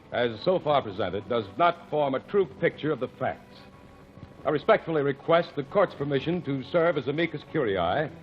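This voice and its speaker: An actor playing a calm, methodical man who cross-examines witnesses in court, speaking formally and precisely, in 1940s radio acting. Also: on the high side, close to an everyday voice. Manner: measured, formal, precise diction. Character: calm, methodical male courtroom lawyer-detective. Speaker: amicus curiae lead. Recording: dull old radio broadcast, faint hiss.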